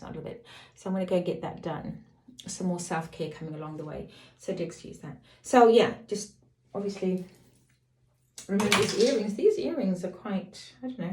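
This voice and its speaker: A middle-aged woman with a slightly croaky voice, casually chatting to camera as a lifestyle vlogger, rambling off on tangents. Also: fairly low pitch, darkish tone. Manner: rambling, digresses and apologizes. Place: home. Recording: echoey room.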